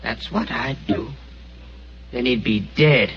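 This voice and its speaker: An actor playing a simple, gentle young man devoted to his dog, who speaks in a childlike, halting, stammering way, heard on an old radio drama. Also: medium pitch, lightly acted. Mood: childlike, eerie. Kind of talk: 1940s radio acting. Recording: dull old radio broadcast with faint hiss.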